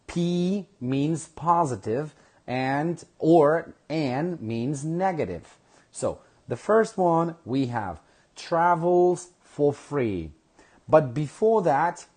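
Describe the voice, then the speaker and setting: A man teaching a live online English lesson, speaking slowly and repeating phrases for his learners. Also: on the high side, a little mellow. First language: Kurdish.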